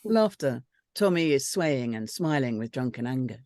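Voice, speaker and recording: A woman's voice: extremely low pitch, expressive, moderate pace, a little mellow, no room sound, clean studio sound. A woman reading out screenplay stage directions as scripted narration.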